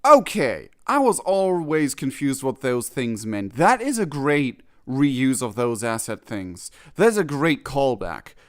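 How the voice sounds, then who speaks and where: A man's voice: mid-range voice, big pitch swings, takes it slowly, few pauses. A man giving casual live reaction commentary on a game, rambling and often rewording himself. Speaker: streamer reacting to game cutscenes.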